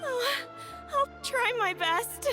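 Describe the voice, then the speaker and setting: An emotional young bride-to-be in an anime game. The voice is extremely high and girlish, drawn out slowly over background music. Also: animated, full character voice. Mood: gushing, emotional. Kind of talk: anime video game voice acting.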